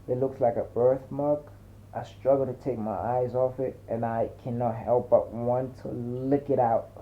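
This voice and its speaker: A man narrating a dramatic first-person story in a colloquial, slangy way. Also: medium pitch. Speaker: audiobook narrator. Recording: muffled, narrow phone-like sound.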